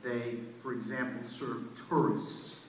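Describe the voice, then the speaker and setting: A man's voice: medium pitch, flat delivery, slow pace. A man, an official on a panel, explaining things at a public meeting. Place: large indoor public meeting room. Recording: echoey hall PA, distant mic, faint noise.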